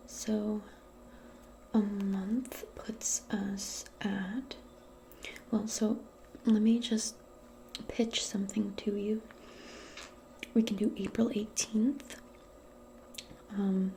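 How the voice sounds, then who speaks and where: A woman murmurs in a soft, breathy voice that often drops to a whisper. Her tone is dark and mellow, and she takes her time. She plays a modern witch in a casual, gentle ASMR personal attention session, with faint ambient noise behind her. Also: medium pitch. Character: modern witch giving a calming spell appointment. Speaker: ASMR role-play host.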